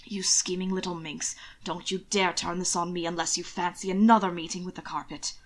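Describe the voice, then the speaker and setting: An irritable young nobleman, acted by a woman narrator speaks with dry, clipped formality in a storybook. The voice sits a little low and quiet, proud and sardonic. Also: little pitch movement, fairly quick, close to an everyday voice. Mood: sardonic warning. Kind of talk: amateur audiobook character reading.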